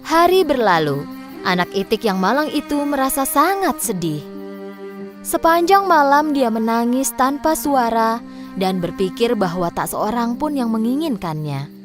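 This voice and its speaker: High, lively woman storyteller over music. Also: a little slow. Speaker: children's story narrator. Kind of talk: expressive scripted storybook narration.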